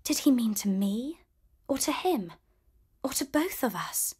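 A woman reading as a gentle young storybook heroine with a soft, hushed voice. She speaks slowly and sincerely, a touch higher than usual. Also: lively intonation, slightly rough, heavily acted. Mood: tender.

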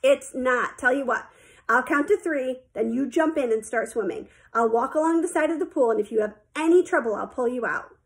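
A woman's voice: sits high, brisk. A woman voicing an encouraging, insistent male swimming teacher in a children's story, coaxing and emphatic. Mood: reassuring.

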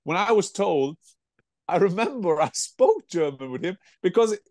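A man in his sixties with a Norwegian accent, co-hosting a casual football chat from home over a video call, animated and given to restarting his words. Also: high-pitched, moderate pace, no room sound, clean studio sound. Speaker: football pundit and co-host. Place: home room with football shirts.

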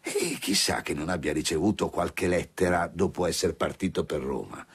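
An actor playing a dogged, courteous police commissioner in an Italian radio drama. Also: male voice, deep voice, moderate pace, slightly rough, exaggerated voice. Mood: pensive, curious.

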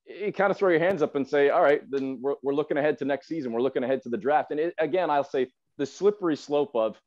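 A man's voice: a little high, quick pace, a little mellow. A man around forty, a sports announcer appearing as a podcast guest, making his points emphatically with repeated phrasing. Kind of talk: sports discussion. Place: indoors at home, video call. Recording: slightly dull video-call audio.

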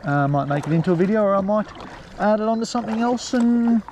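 A man's voice: sits high, animated, fast talker, a little mellow, a little hiss or hum. A man fishing from a kayak, chatting casually and muttering at the fish he misses. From Australia. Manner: laid-back, muttering exclamations at fish. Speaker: fishing vlogger. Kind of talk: casual outdoor fishing chat. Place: kayak on open water outdoors.

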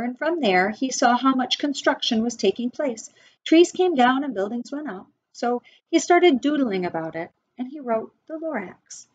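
Animated high-voiced woman reading aloud. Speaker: read-aloud storyteller for children. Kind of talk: expressive picture-book reading aloud. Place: wood-panelled room indoors. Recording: slightly dull webcam sound.

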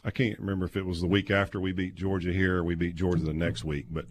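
A low-pitched man. He talks like a radio guest swapping old stories, taking his time and pausing as he goes. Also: flat delivery, slight background noise. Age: 50s. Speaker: guest, former college quarterback. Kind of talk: casual sports radio storytelling. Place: small padded radio studio. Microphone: close studio microphone with headset.

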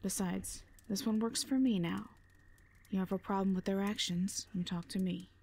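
A woman's voice: mid-range voice, steady tone, normal speed, mellow, slight background noise, lightly acted. A woman playing a brash, defiant mercenary in an audio drama, with a blunt, sardonic manner. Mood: firm, protective. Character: brash, defiant female mercenary, protective and unimpressed.

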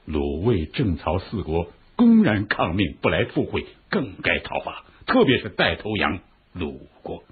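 Shrewd minister, very husky, swinging intonation, formal and earnest, historical radio drama. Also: male voice, low-pitched, huge pitch swings, full character voice. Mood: earnest, persuasive. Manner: formal, measured, rhetorical. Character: shrewd, earnest chief minister advising his lord. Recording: muffled phone-like radio drama recording.

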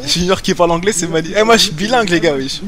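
A man's voice: high-pitched, very fast, a crowd in the background. A young man streaming a game from home, chatting with viewers in slangy, joking banter. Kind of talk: casual gaming commentary. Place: home room, webcam.

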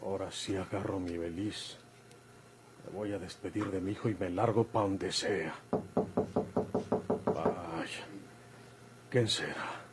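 An actor playing an irritable fugitive bandit in a Mexican radio drama, with a gruff, rustic Mexican way of speaking. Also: male voice, low-pitched, fairly even intonation, slow, pauses often. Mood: grumbling, brooding. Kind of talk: golden-age Mexican radio drama acting. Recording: old radio recording, faint hum.

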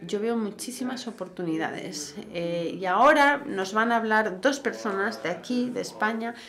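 A man's voice: sits high, moderate pace, pushed and bright. A middle-aged man moderating a panel in a large event hall, summarizing each speaker in a conversational way with small fillers, into a handheld mic. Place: large indoor event hall with seated audience.

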